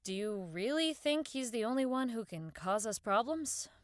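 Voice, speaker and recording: A woman's voice: a little high, animated, moderate pace, dry recording, natural voice. Woman doing questioning second in command lady for an audio drama, wary, practical, recorded really dry. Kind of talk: audio fiction podcast acting.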